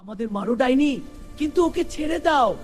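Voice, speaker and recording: A woman's voice: sits high, expressive, fast talker. A woman voicing a loving, hungry mother bird who pleads in a cartoon. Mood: pleading. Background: faint music.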